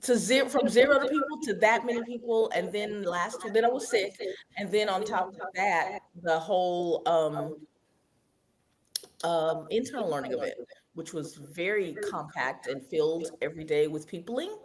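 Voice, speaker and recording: A woman's voice: lowish voice, moderate pace, crowd noise. A woman co-hosting a podcast, chatting casually and rambling with many restarts. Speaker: podcast co-host. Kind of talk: casual chat.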